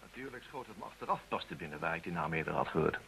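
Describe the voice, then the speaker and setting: An actor playing a brisk, shrewd, insistent detective with a husky voice in a radio play. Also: male voice, sits low, expressive, pushed and bright, a little hiss or hum, full character voice. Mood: explaining.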